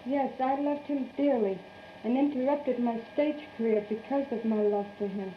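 A woman's voice: a little high, normal speed. A young woman giving stiff, rehearsed answers in a 1930s newsreel interview on a muffled, noisy soundtrack. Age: young adult. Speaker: stage performer being interviewed.

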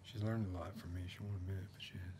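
Low, hushed man playing a deadpan backwoods uncle. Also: close to an everyday voice. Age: middle-aged. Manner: slow, deadpan, murmuring. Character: menacing, wry backwoods uncle with a dry wit. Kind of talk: whispered ASMR roleplay. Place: dim indoor room, seated close to the mic. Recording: close mic with clear background hiss.

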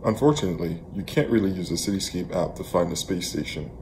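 A man's voice: sits low, animated, fast, a little mellow, a little hiss or hum. A man in his thirties from Haiti, hosting a casual explainer vlog in a conversational way. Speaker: host, app co-founder.

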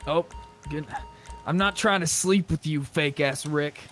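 Higher-voiced man bantering in a game. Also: a little slow, fairly bright tone, soft background music. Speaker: gamer, roleplay streamer. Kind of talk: casual in-game roleplay banter.

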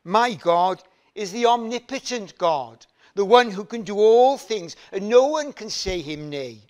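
An older man from Wales preaches from a lectern in a church hall. He talks at an unhurried pace, and his voice sits slightly higher than most men's. He stresses his points by repeating phrases. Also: lively intonation, darkish tone. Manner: slow, emphatic preaching with rising repeated phrases and hand gestures. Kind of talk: sermon.

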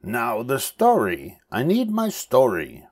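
Man putting on a bossy, high cackling witch. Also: huge pitch swings, slow pace, full character voice. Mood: bossy, demanding. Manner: cackling, crowing, commanding. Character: small, bossy, cackling female sand witch.

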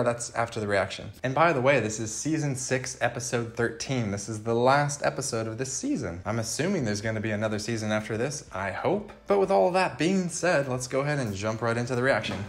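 A young man with a cold, a magician chatting casually and joking dryly about himself while reacting to a video, recorded indoors on an earbud. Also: mid-range voice. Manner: dry self-mocking humor. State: has a cold.

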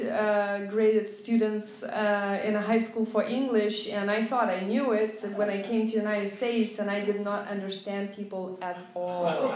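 A woman in her thirties from Lithuania with a Lithuanian accent, a motivational speaker telling her story earnestly to a group, with many fillers and soft laughs. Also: mid-range voice, noisy background. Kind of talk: inspirational talk to a group. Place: meeting room at a friend's house. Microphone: phone voice recorder app. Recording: echoey room on a phone recorder.

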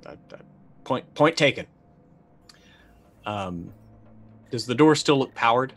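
A man's voice: mid-range voice, lively intonation, slow, a bit bright. A middle-aged man playing a tabletop roleplaying game, narrating casually and thinking aloud in hesitant bursts. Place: indoor room, on a video call.

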